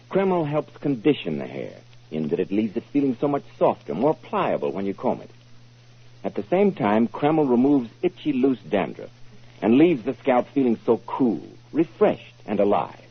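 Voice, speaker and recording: A man's voice: lowish voice, slightly rough. Muffled old 40s radio host guy, american, punchy salesman delivery, very up and down. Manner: polished, emphatic salesmanship. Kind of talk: 1940s radio announcing and sponsor pitch. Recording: muffled 1940s radio broadcast recording.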